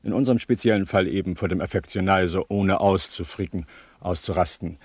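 A man's voice: low voice, brisk, darkish tone, husky, dry recording. An actor playing a pedantic, disapproving male vocational teacher in a satirical radio play, precise and didactic. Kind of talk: satirical radio drama acting as an interviewee.

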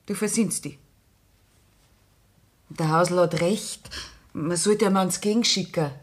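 An actress with a Bavarian accent playing a composed, grieving farmer's widow in a radio play. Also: female voice, fairly low pitch, slow pace. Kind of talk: 1960s radio play acting.

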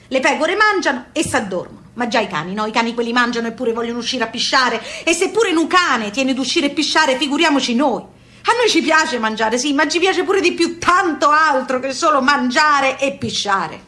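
A woman's voice: high-pitched, expressive, normal speed, sharp tone. An actress playing an earthy, loving, stubborn emigrant mother who tells her story in a theatrical monologue with a Southern Italian accent and rhythmic repetitions. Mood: emphatic, earthy. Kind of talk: theatrical monologue in dialect-tinged speech.

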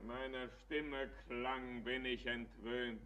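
Mysterious elderly miner and tempter, radio drama; sharp-edged voice, imperious, drawn-out delivery. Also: male voice, medium pitch, level tone. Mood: cryptic. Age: older. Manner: measured, cryptic, imperious. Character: mysterious, uncanny old miner, commanding tempter. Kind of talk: verse radio drama acting.

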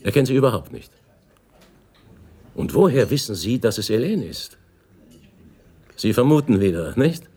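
A man's voice: mid-range voice, very animated, moderate pace, pauses often, darkish tone, breathy edge. An actor playing a wary older Italian businessman and protective father in a radio play, guarded and probing with short questions. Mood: subdued, wistful.

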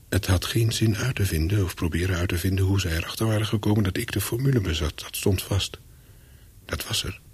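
A calculating, hunted spy tells his own story in a radio play. His voice is low and heavily husky, and he speaks in short, brooding sentences. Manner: terse, brooding, clipped sentences. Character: wary, calculating male spy on the run, narrating. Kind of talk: first-person radio play narration with dialogue.

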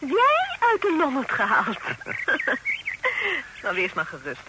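Playful teasing young sister, really high lively voice, noisy crackly old radio drama. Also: female voice, slow, full character voice. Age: young adult. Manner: teasing, arch. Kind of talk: Dutch radio play acting.

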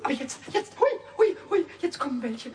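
A man voicing a cheeky, childlike little kobold who chatters excitably to himself in a squeaky voice in a children's radio play. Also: moderate pace, far from the mic. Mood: excited, scheming. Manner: excitable muttering to himself, exclaiming.

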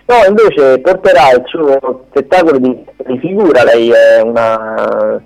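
A man chatting unscripted on the radio as he runs through theatre listings, halting and often correcting himself. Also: medium pitch, gravelly, darkish tone, poor audio. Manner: hesitant, halting, self-correcting. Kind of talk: unscripted radio chat, event rundown.

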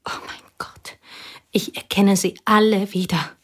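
An actress playing a provincial woman recalling an old school friend, speaking quietly and wistfully in a radio drama. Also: medium pitch, steady tone, unhurried, lightly acted. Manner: quiet, wistful, partly whispered.

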